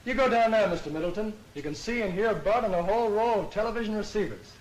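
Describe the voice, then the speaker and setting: High-voiced 1930s fair guide played by man. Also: fast, natural voice. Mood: informative. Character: genial, knowledgeable adult fair guide. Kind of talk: 1930s film acting. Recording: roomy, faintly noisy 1930s film soundtrack.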